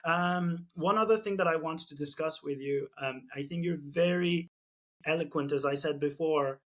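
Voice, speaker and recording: A man's voice: a little high, normal speed, fairly bright tone. A man from Turkey, speaking English with a Turkish accent, hosting a casual business podcast and thinking aloud with many fillers and restarts. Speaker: podcast host.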